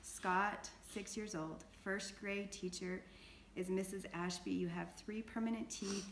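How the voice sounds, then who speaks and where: A woman's voice: medium pitch, steady tone, unhurried. A woman, chatting casually and reading aloud among others in a room. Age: 40s. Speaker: interview guest sharing her mother's letter. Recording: roomy echo, faint background noise.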